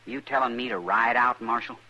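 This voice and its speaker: An actor playing a defiant, aggrieved young cowhand with an American Western accent in an old radio drama, blunt and surly. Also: male voice, medium pitch, fairly quick, pushed and bright. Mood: sullen. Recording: dull, hissy old radio recording.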